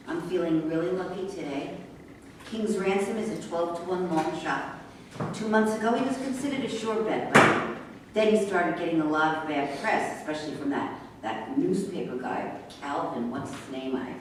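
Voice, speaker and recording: A woman's voice: fairly low pitch, lightly acted. A woman playing a brash, defiant racehorse trainer in a staged reading of a play. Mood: confident, casual. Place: echoey room at a live staged reading. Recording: echoey room from far off, faint noise.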